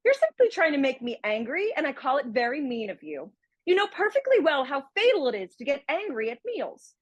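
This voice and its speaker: A woman playing a prim, teasing young heiress in a radio play, pert and quick to take offence. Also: high-pitched, big pitch swings, dry recording. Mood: miffed.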